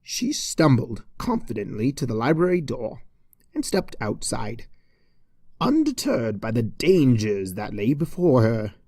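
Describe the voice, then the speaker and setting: A storyteller narrates a comic tale in a dramatic storybook manner. The pace is unhurried and slow, the pitch swings widely, and the recording is close and dry. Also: very animated, darkish tone, breathy edge, no room sound. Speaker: narrator, fan fiction reader.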